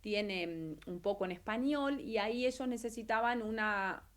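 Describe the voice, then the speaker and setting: A middle-aged Argentine woman talks as a guest in a casual interview. Her pitch is average for a woman. She explains things conversationally, often pausing mid-thought before carrying on. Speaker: interview guest, actress and translator. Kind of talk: casual interview, telling career story.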